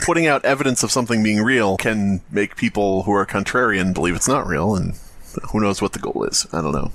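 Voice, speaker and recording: Animated, irreverent man on a podcast. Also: lowish voice, fairly quick, background noise. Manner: irreverent, sweary. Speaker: podcast host. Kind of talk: casual comedic banter and reading aloud.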